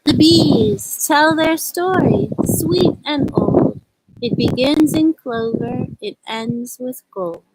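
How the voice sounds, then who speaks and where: A woman with a husky voice hosting a storytime for young children, speaking gently and in simple phrases as she reads and chats outdoors. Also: sits high, slow pace, very noisy. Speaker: children's storytime host at a community farm. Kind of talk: storybook read-aloud and casual chat to children. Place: outdoors at a farm.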